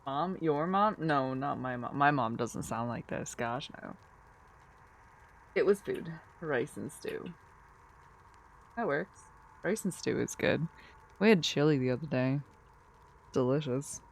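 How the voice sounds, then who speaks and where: A woman's voice: extremely low pitch, animated, takes it slowly, gravelly, pauses often, low-quality recording. A woman streamer just woken from a nap, chatting drowsily with her viewers in a sleepy, giggly, rambling way. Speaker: live streamer. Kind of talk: casual live-stream chat, answering chat.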